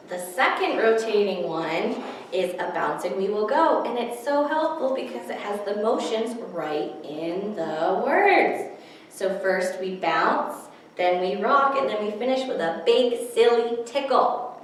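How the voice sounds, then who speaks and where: A woman's voice: mid-range voice, expressive, a little slow, slight background noise. A woman leading a story time for young children as a librarian, explaining rhymes simply in an encouraging, sing-song way. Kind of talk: nursery rhyme instruction for young children, with singing. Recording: echoey room, distant microphone.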